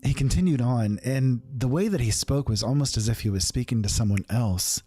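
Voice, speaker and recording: A man telling a first-person fantasy story in a calm, unhurried, conversational way. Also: mid-range voice, dry recording.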